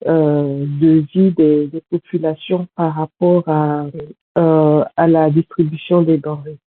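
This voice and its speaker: A woman's voice: low-pitched, flat delivery, takes it slowly. A woman with a cold calling in over a video call, asking a question politely and hesitantly. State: stuffy from a cold. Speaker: caller. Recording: dry, tinny video-call audio.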